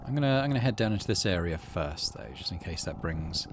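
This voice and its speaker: Lively low-voiced man narrating a game. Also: fast, noisy background. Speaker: gaming video host. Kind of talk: reading visual novel text aloud with casual commentary.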